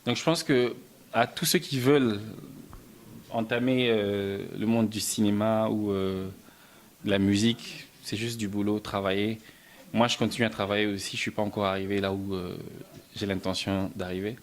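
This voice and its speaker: A man from Belgium answering interview questions about his music and films, soft-spoken, often stumbling and repeating words. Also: lowish voice, steady tone, normal speed, slight background noise. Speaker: musician and film writer, interview guest.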